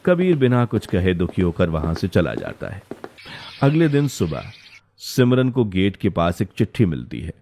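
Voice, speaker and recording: A man's voice: deep voice, huge pitch swings, moderate pace, creaky, dark tone, a little hiss or hum. A man narrating a story in a scripted, dramatic style. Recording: music behind the voice.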